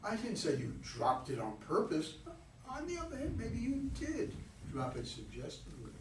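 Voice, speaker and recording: A man's voice: high voice, wildly swooping pitch, moderate pace. A man in a staged play reading, acting a pushy, teasing, talkative flirt who needles a stranger. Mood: insistent. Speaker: amateur play reader. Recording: distant roomy recording with faint noise.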